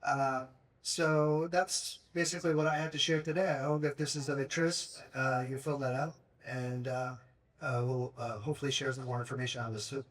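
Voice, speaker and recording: A man's voice: medium pitch, fairly even intonation, fairly quick, a bit bright. Hesitant man heard from afar. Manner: hesitant, many fillers. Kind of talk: event presentation. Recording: voice far from the mic.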